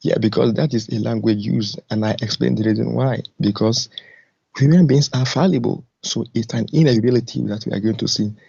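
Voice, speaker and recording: Male caller, dark gravelly voice, firm formal explanations, debate, muffled lo-fi line. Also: medium pitch, brisk, no echo. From Nigeria. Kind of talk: argumentative live debate. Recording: muffled lo-fi call, dry, clicks.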